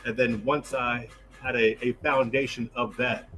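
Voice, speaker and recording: A man's voice: mid-range voice, level tone, unhurried, fairly bright tone. A man from New York and New Jersey, a business owner answering interview questions earnestly, often repeating words and adding "right". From New York and New Jersey, USA.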